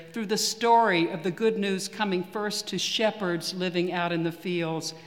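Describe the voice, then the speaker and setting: A man preaching a sermon in a church, measured and earnest with deliberate pauses. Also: sits high. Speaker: priest. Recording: long reverb of a church hall.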